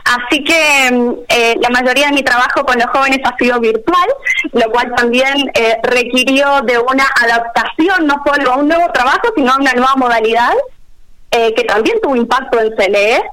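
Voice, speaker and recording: A woman teacher with an Argentine accent, talking quickly with many hesitations on a video call. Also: high-pitched, pushed and bright, slight background noise, rough recording. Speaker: special education teacher. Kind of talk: answering questions on a video call panel.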